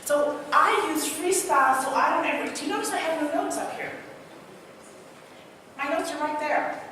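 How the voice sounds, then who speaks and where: Woman w/ trini accent, high-pitched, fired-up motivational talk to a crowd, big reverby hall, faint room noise. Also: normal speed. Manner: energetic, asks the crowd questions, tells personal stories. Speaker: motivational speaker. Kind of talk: live stage talk to an audience. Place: large hall with an audience. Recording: echoey hall, mic heard from a distance.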